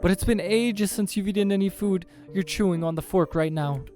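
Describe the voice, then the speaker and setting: Casting: man playing doting boyfriend in a horror game, much raised pitch, eager to please. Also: fairly quick, darkish tone, soft background music, natural voice. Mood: pleading.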